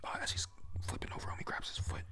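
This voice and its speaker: A young adult whispering a rambling, excited play-by-play recap at home, full of fillers. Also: racing. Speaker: anime episode recapper. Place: at home with family nearby.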